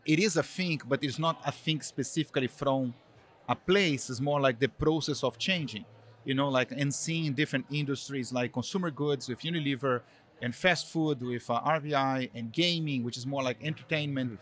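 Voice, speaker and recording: A man's voice: medium pitch, moderate pace, a bit bright. Middle-aged Brazilian man with a Brazilian accent, an interview guest who rambles loosely through his answers with plenty of fillers. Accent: Brazilian Portuguese. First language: Portuguese. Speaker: guest, marketing executive.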